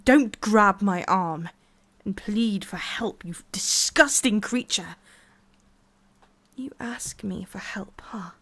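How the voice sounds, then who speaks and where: A woman playing a haughty, centuries-old tsundere forest witch in an ASMR roleplay, scolding the listener with condescension and theatrical pauses. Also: on the high side, husky, full character voice. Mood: disgusted.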